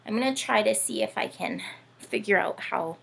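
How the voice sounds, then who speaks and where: A woman's voice: medium pitch, moderate pace, vocal fry, low-quality recording. A woman reading and chatting to young children in a playful, sing-song way, often asking them questions. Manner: sing-song, animated, asks the listeners questions. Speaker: children's read-aloud host. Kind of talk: playful read-aloud and chat addressed to young children.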